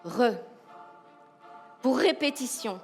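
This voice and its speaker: A woman with a light Belgian accent delivers a keynote with humor and energy. Her voice sits a little high, and she keeps turning to the audience with rhetorical questions. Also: lively intonation, takes it slowly, creaky, faint music behind. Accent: Belgian French. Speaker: keynote speaker, professional coach. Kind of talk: lively keynote talk.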